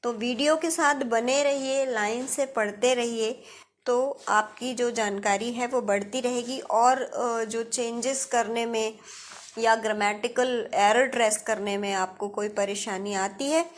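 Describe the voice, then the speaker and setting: A woman's voice: on the high side, fairly even intonation, takes it slowly. A woman with an Indian accent giving an instructional grammar lesson, explaining step by step and repeating key points. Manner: didactic, explains step by step with repetition. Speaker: English grammar teacher.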